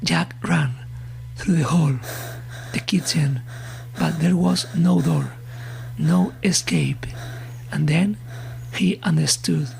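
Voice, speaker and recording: A man narrating a horror story in short, ominous, halting phrases that often drop to a breathy hush. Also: fairly high pitch, a little hiss or hum, poor audio. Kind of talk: slow dramatic scripted horror narration. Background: eerie suspense music.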